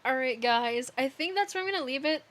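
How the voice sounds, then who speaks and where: A young woman streamer with a hoarse, worn voice, reading a dating game aloud and chatting casually to viewers. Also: high-pitched, expressive, brisk, fairly bright tone, a little hiss or hum. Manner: casual, playful, trailing off with restarts. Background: music.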